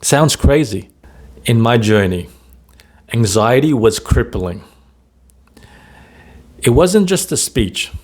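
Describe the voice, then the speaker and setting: A man who works as a stutter coach, talking to camera at a slow, deliberate pace with frequent pauses and "right?" tags. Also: medium pitch, animated, a little mellow. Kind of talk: motivational talk to camera.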